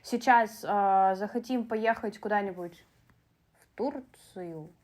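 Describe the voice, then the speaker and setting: A woman's voice: mid-range voice, slow, darkish tone. A young woman from Russia talking in a chatty, casual vlog style, with fillers and asides. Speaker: beauty vlogger.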